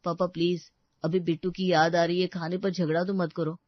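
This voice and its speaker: A woman's voice: fairly low pitch, level tone, normal speed, no echo, dull audio, close to an everyday voice. A woman playing a mild, respectful adult son who talks politely and hesitantly in a story. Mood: mild complaint. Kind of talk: Hindi audio story dramatization.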